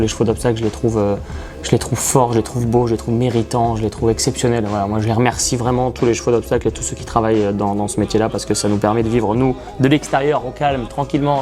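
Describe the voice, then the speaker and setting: A man's voice: medium pitch, darkish tone. A man in his thirties from France, a racing commentator, answering interview questions in an earnest, hesitant way. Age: 30s. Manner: earnest, self-described shy, frequent hesitations.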